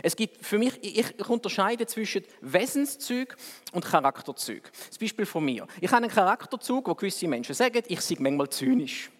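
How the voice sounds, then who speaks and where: A man with a Swiss German accent, preaching a sermon in a conversational, wry way that swings between quick and slow delivery. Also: high voice. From Switzerland. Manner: conversational, wry, speeds up and slows down for emphasis. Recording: long reverb of a hall.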